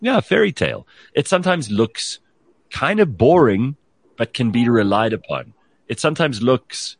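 A man's voice: medium pitch, no room sound. A man hosting a talk show, giving blunt opinions and putting questions in a conversational way.

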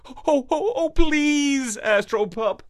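A man's voice: very high-pitched, lively intonation, slow, pitched above the performer's own voice, exaggerated voice. A man voicing Marlowe, an unhappy man turned into a dog, pleading in a raised whiny voice.

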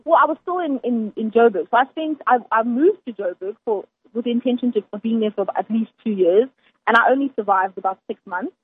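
Woman, South African accent, husky, fairly high-pitched, chatty and emphatic, casual interview, dry narrow phone-like sound. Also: lively intonation, brisk. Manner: chatty, emphatic, laughs often. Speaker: chef, guest. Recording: dry phone-like radio line.

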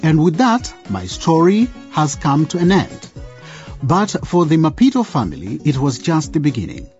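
A man's voice: a little high, sing-song, normal speed, a little mellow, lo-fi sound, soft background music. A man narrating a radio drama as a storyteller addressing listeners in scripted style. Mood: storytelling.

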